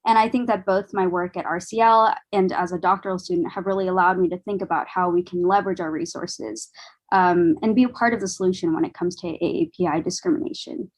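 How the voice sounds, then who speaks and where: A young woman in her twenties speaks as a podcast guest, earnest and measured. She fills her pauses with frequent "um"s and "you know"s. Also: lowish voice, steady tone. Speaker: guest, doctoral student. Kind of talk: podcast roundtable discussion.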